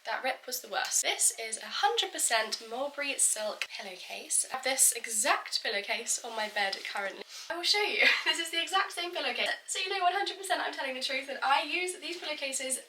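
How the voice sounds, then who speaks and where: A woman's voice: high-pitched, lively intonation, brisk, bright, forceful tone, slight background noise. Gushing woman with a cold. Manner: gushing, effusive. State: stuffy voice from a cold. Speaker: vlogger. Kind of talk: sponsored unboxing chat. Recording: echoey room, voice from a distance.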